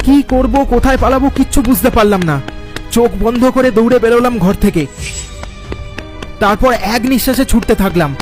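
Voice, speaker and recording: Guy playing a scared nephew telling a cartoon ghost story, high anxious voice, bit of music. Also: a little fast, darkish tone. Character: frightened nephew recounting a ghost encounter. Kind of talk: dramatic first-person cartoon narration.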